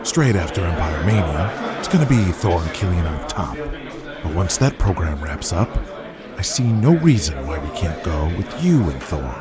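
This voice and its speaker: Man playing a deep, calculating wrestling boss. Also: big pitch swings, loud music behind the voice. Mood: persuasive. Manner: deliberate, persuasive. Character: low-voiced, calculating male wrestling boss, smooth-talking and controlling. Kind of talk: audio drama character acting.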